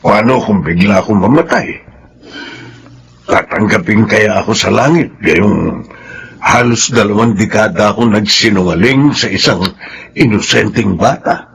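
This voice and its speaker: A man's voice: lowish voice, a little slow, creaky, pauses often, slight background noise. A male actor with a Filipino accent playing a guilt-ridden, loving middle-aged father figure in an earnest radio drama. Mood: guilty, pleading. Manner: earnest, emotional delivery. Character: guilt-ridden, loving older man posing as a boy's father.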